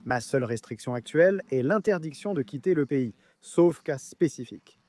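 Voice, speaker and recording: A man's voice: a little high, expressive, normal speed, warm tone. A man from Colombia giving an earnest, enthusiastic interview testimony.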